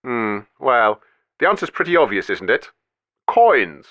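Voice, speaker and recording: A man's voice: mid-range voice, sing-song, a little slow, fairly bright tone, dry recording, dull audio. A man playing a pompous old banker. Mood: haughty. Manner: haughty, condescending. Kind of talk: comedy radio sketch.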